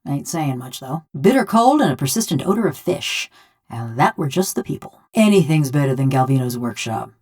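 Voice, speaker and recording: Low-pitched, vengeful bronze construct woman. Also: sing-song, normal speed, darkish tone, full character voice. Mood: wry, sardonic. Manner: folksy rustic drawl, sardonic. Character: vengeful, wry bronze construct housing a murdered village woman's soul. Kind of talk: video game character dialogue.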